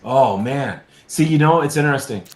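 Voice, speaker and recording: A man's voice: mid-range voice, lively intonation, normal speed, a little mellow. A middle-aged man being interviewed casually, talking effusively and emphatically and often stammering over repeated words. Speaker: guest, science fiction creator and designer. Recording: roomy echo, noticeable background noise.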